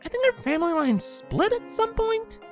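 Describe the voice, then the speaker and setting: Excitable, high-pitched bubbly pegasus. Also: female voice, normal speed, faint music behind. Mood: curious. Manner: gushing, gleeful. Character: excitable, bubbly young female pegasus athlete.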